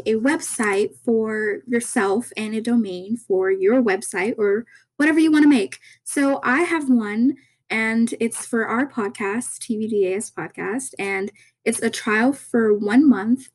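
Raspy just-woken young woman chatting. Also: on the high side, low-quality recording. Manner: rambling, chatty, many fillers. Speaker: podcast host. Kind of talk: casual podcast chat.

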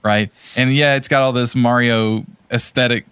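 A man in a casual movie discussion, with a medium-pitched voice. He rambles and restarts his thoughts as he goes. The sound is dry, with very little room in it. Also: fairly even intonation, normal speed, fairly bright tone, clean tone, dry recording. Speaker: podcast co-host. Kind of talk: casual chat about movies.

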